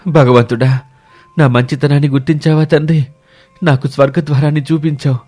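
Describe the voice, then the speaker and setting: A man's voice: medium pitch, steady tone, fairly quick, a little mellow, lightly acted. A man voicing an earnest, selfless villager on a quest, rustic and righteous in manner. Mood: awed, resolute. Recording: music bed behind the voice.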